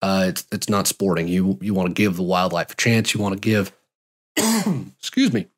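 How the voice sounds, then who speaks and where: Guy in his 30s doing a solo fishing-style podcast, kinda raspy from a cold, lowish voice, lots of uhs and restarts, close studio mic. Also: normal speed. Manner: relaxed, rambling, frequent uh and restarts. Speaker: podcast host and fly fishing guide. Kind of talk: casual solo podcast answering listener questions. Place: home studio with gear shelves. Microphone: large studio mic, headphones on.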